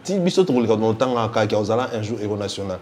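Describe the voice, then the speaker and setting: Congolese guy getting interviewed, mellow voice, keeps repeating stuff for emphasis, bit of noise behind. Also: lowish voice, lively intonation, quick pace. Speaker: interview guest, music fan spokesman. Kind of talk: casual interview, mixing two languages.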